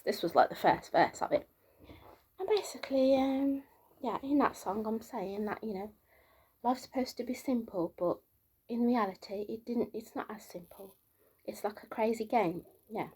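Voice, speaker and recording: A woman from the UK with a soft, girlish voice, talking casually to camera in a rambling monologue full of fillers, her pitch climbing as she gets into the flow. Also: slightly rough. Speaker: vlogger.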